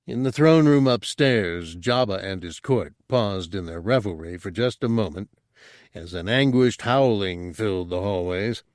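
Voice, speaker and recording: A man's voice: lowish voice, a little mellow, no echo, clean studio sound. A man reading science fiction as an audiobook narrator, in a dramatic storytelling style.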